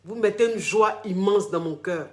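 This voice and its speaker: A man's voice: high-pitched, moderate pace. A man, a relationship coach talking casually to followers on a live stream. He speaks effusively and repeats his phrases over and over. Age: 40s. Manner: effusive, repeats phrases, tags many sentences with a filler word.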